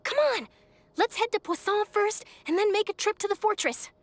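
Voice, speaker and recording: A woman's voice: extremely high pitch, moderate pace. A woman voicing an eager, chirpy young newspaper reporter in a video game, persuasive and enthusiastic. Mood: eager, upbeat.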